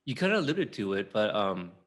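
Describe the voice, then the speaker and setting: A man in his thirties hosting a podcast interview, asking friendly, halting questions. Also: on the low side, big pitch swings. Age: 30s. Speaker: podcast host. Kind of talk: casual interview.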